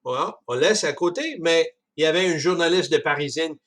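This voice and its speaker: Halting man with a Canadian French accent. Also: a little high, expressive, fairly bright tone. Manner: halting, with frequent restarts and chuckles. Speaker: speaker discussing a book. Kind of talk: casual spoken commentary.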